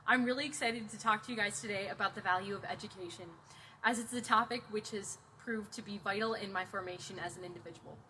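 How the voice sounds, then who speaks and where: Fast-talking bright teen girl. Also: medium pitch, a little hiss or hum, poor audio. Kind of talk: self-introduction.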